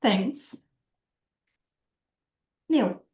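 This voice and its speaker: A woman's voice: very slow, a little mellow. A woman introducing herself as an academic integrity advisor, hesitant with many ums. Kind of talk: self-introduction on a panel. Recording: echoey room on a video call.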